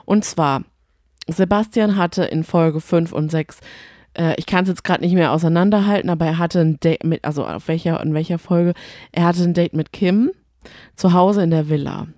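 A woman co-hosts a casual recap show in a relaxed, slightly low voice. She is chatty and opinionated, slipping quotes into her retelling. The sound is dry and close. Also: normal speed, no echo. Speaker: podcast co-host. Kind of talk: casual chat recapping reality TV.

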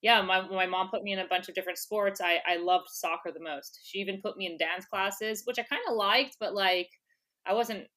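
A woman's voice: medium pitch, fairly quick, sharp tone. A woman talking casually as a podcast guest, chatty and laughing often, with lots of filler words. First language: English. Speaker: interview guest, former soccer player. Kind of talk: casual conversational interview.

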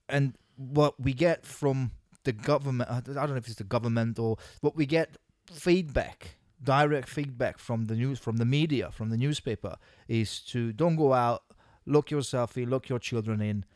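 A man co-hosting a casual podcast chat. He stumbles over the first words of a thought, then hurries through long explanations. His voice sits mid-pitch, and the sound is dry. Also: a little mellow, no room sound. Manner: stammers on starts, then rushes through long points. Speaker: podcast co-host.

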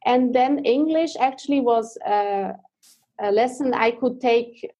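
A woman's voice: on the high side, unhurried, mellow. A woman in her thirties from Switzerland with a Swiss accent, a guest in a casual interview who speaks hesitantly while searching for English words.

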